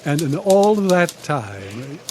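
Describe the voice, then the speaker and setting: An actor playing a mild, hesitant old newspaper columnist, flustered and deferential, in an old-time radio drama. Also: male voice, fairly high pitch, very animated, slow pace, a little mellow. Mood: genial, musing. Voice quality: hesitant, stammering when flustered. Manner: genial, meandering, absent-minded. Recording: live stage recording with background noise.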